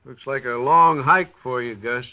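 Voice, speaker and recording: A man's voice: medium pitch, a little slow, lightly acted. An American man playing a businesslike, wry police medical examiner in a 1950s radio crime drama. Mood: matter-of-fact. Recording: dull, noisy vintage radio recording.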